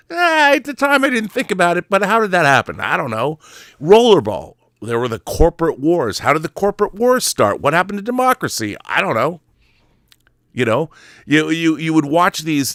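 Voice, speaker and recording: A man's voice: medium pitch, a bit bright, no echo, studio quality. A middle-aged American man talking casually and opinionatedly, stammering and repeating words, often punching out emphatic rhetorical questions. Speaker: talk show host. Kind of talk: casual opinionated monologue about films and society.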